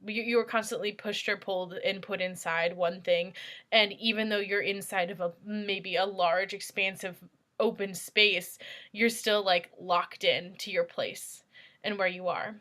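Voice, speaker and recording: A woman's voice: mid-range voice, fairly even intonation, a bit bright. A woman moderating a casual panel discussion, searching for her words as she thinks aloud. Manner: halting, reflective, searching for words. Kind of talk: casual post-show discussion.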